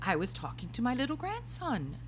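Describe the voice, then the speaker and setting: Woman doing a high-pitched, chatty old grandmother. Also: wildly swooping pitch, a little fast, a little hiss or hum. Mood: breezy, polite. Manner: breezy, politely unruffled.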